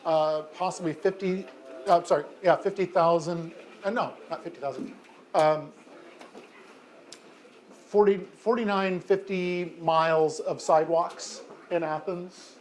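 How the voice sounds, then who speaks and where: An American man in his fifties, a mayor, answering a classroom of children's questions in a friendly, chatty way with frequent ums and self-corrections. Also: sits high. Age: 50s. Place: elementary school classroom.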